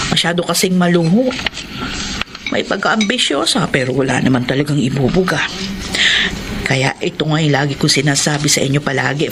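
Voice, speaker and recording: An actress playing a caring, devout middle-aged housemaid mother with a Filipino accent, expressive and motherly in a radio drama. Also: low voice, noisy background.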